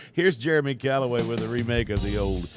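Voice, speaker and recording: A man's voice: mid-range voice, fairly quick, over music. A man with a West Virginia accent hosting a casual morning radio show, folksy and chuckling as he chats. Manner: folksy, chuckling, lively intonation. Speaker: radio host.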